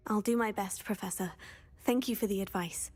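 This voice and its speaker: A woman's voice: mid-range voice, normal speed, a little mellow, slightly rough, soft background music, lightly acted. A woman playing a polite, curious young student at a school of magic in a video game. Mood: earnest. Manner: courteous, inquisitive. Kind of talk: scripted game dialogue.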